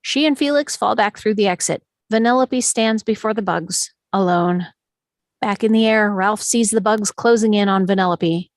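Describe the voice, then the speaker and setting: A woman narrator reads out scene directions from a script. Her voice sits at a middle pitch, dramatically engaged, and the sound is dry with no room around it. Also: moderate pace, no room sound. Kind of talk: scripted narration at a table read.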